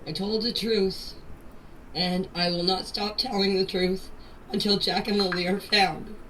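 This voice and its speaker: An older woman speaks with a slightly low voice in an insistent, plain-spoken way, as if pleading her case in a group chat. The amateur recording places her away from the mic, with some faint background noise. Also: flat delivery, measured pace, a bit bright. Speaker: grandmother answering questions in a group voice chat. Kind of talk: emotional personal statement in voice messages. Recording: distant, noisy amateur voice-message recording.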